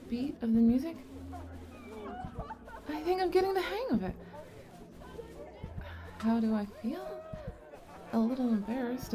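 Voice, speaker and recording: A woman playing a shy, sweet, nerdy young goblin girl in an ASMR romance roleplay, speaking softly and hesitantly with bashful stammers. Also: high-pitched. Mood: tender, nervous.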